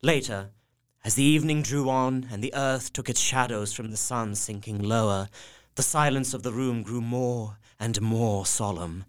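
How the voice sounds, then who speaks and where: A man's voice: mid-range voice, normal speed, clear, resonant tone, natural voice. An actor playing a devoted young husband, narrating his diary in an earnest, subdued manner. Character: young husband Jonathan Harker, anxious, devoted. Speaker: narrator-diarist. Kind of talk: audio drama narration.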